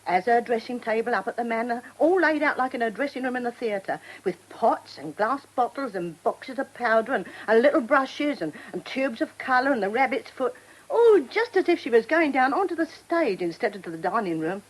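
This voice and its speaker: Chatty old london working-class dresser, highish lilting voice, homely n wistful, radio play. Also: female voice, animated, normal speed, low-quality recording. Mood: wistful, reminiscing. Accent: working-class London English. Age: older. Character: chatty, devoted, nostalgic old theatre dresser. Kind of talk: radio drama acting.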